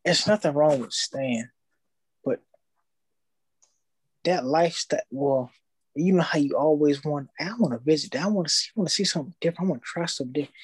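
Animated slangy young man. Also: a little high, slightly rough, dry recording, rough recording. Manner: animated storytelling, slangy, acts out his own past thoughts. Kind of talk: casual podcast chat.

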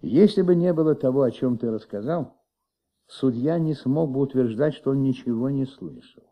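An actor in a Soviet radio play playing a patient, shrewd middle-aged police commissaire with flu, questioning gently in a husky, creaky voice. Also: male voice, mid-range voice, normal speed, dull audio, natural voice. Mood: earnest, explaining. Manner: gentle, coaxing, paternal questioning.

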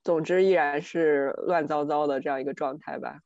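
A woman's voice: deep voice, steady tone, moderate pace, darkish tone, no room sound. A woman co-hosting a podcast, telling personal anecdotes in a casual, exasperated, rambling way. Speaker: podcast co-host. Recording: dry, slightly dull lo-fi audio.

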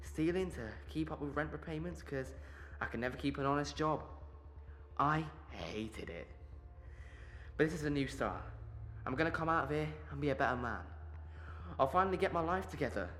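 A man's voice: a little high, fairly bright tone, lightly acted. A man playing a young petty thief in prison in an audio drama, remorseful and earnestly resolved to reform.

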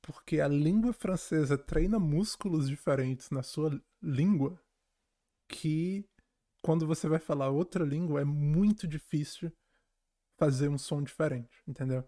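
A man's voice: a little high, a little slow, mellow. A man telling personal stories in casual chat, acting out quotes and often checking that the listener follows.

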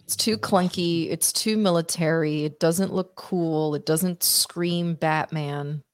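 A woman giving blunt, expressive opinions in casual chat as a co-host at a movie watch party. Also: low voice, takes it slowly, dry recording, clean studio sound. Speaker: podcast co-host.

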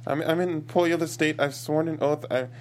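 A man playing a nervous, easily indignant government clerk with a halting, stammering delivery at a script table read. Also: a little high, steady tone, a little mellow, a little hiss or hum, natural voice. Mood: flustered. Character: flustered, nervous male government desk clerk who turns indignant.

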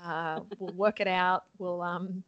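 A woman's voice: fairly low pitch, level tone, slow, clear, resonant tone, no room sound, highs cut off. A young adult woman from Sydney with an Australian accent, a nun guest chatting cheerfully in an interview, laughing often and saying um a lot. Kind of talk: casual interview chat.

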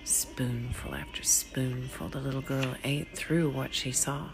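A woman reading a literary short story in a hushed, deliberate, dramatic way, often sinking to a whisper. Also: rumbling low, fairly even intonation, rough recording. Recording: music behind the voice. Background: music.

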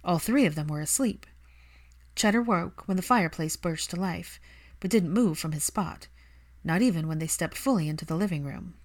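A woman's voice: on the low side, moderate pace. A woman reading fan fiction aloud as a scripted audiobook narration.

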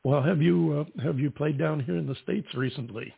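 A man's voice: on the high side, normal speed, creaky, breathy edge. A male host interviewing a musician in a casual, chatty way, repeating words as he thinks. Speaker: radio show host. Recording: tinny phone-like line.

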